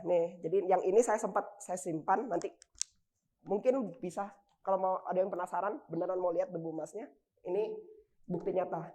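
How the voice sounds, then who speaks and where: A woman's voice: mid-range voice, darkish tone. A woman preaching a sermon in church, casual and full of fillers, her voice strained by a cough. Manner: informal, with frequent fillers and restarts.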